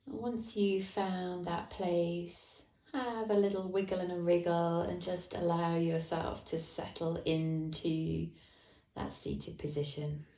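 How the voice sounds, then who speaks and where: A woman meditation teacher guides listeners with a soft, unhurried voice. Her delivery is very slow and low-pitched, with a mellow, slightly gravelly texture. The recording has a roomy echo around her. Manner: slow, soft, calm guidance with long pauses.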